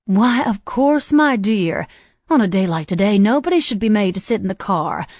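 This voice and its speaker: A woman doing a slow, sweet old lady. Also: mid-range voice, expressive, darkish tone, no room sound, studio quality, pitched above the performer's own voice, lightly acted. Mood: sweet, doting. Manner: slow, lilting and motherly. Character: elderly woman, sweet, chatty and doting.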